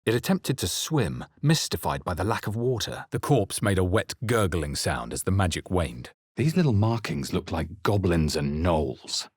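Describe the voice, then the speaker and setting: A man playing a wry, haunted adventurer hero in a video game, narrating his journey in measured, theatrical lines. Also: sits low, sing-song, husky, no echo, clean studio sound, heavily acted. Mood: grave, suspenseful. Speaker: video game player character voice.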